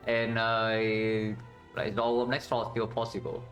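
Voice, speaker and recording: A man's voice: on the low side, completely flat delivery, measured pace, a bit bright, soft background music. Vietnamese-accented man streaming a card game. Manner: thinks aloud, tag questions "yeah?", chats with viewers. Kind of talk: casual live-stream commentary.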